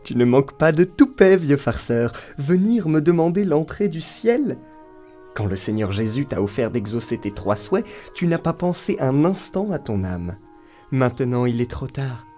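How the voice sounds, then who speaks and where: A man's voice: medium pitch, sing-song, normal speed, warm tone, faint music behind, lightly acted. A man playing a stern, scolding saint who guards heaven in a French audio drama, reproachful and dismissive.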